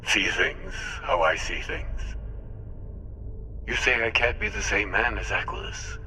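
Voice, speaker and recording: An actor playing a cynical immortal villain in a video game, gravelly and sardonic, goading the listener. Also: male voice, mid-range voice, big pitch swings, unhurried, pushed and bright, faint music behind, heavily acted. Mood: taunting. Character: cynical, taunting immortal male villain, formerly human. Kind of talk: dramatic video game acting.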